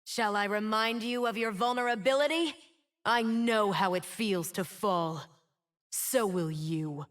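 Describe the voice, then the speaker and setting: A woman's voice: medium pitch, a bit bright, close to an everyday voice. An actress voicing a proud, wounded, immortal winged woman in a video game, speaking with solemn, dramatic defiance. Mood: menacing. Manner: slow, solemn, dramatic delivery. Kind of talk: scripted game character voice lines.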